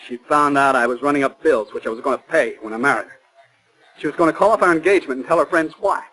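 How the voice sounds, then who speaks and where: A man's voice: on the high side, fast talker, pushed and bright, husky, soft background music, low-quality recording. A man acting a hot-headed, menacing killer in a 1940s radio crime drama, speaking in agitated, threatening bursts. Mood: cold, gloating. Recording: vintage 1940s radio broadcast.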